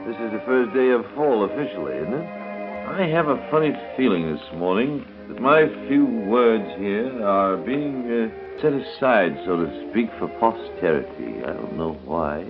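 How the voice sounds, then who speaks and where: A man's voice: lowish voice, big pitch swings, moderate pace. An American man chatting in a musing, whimsical way as a morning announcer on 1930s radio. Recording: dull 1939 radio aircheck, music behind.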